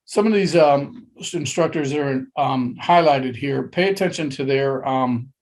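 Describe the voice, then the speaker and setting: A man presenting a webinar, talking informally and haltingly with many pauses. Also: mid-range voice, darkish tone, poor audio. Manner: halting, many fillers and restarts.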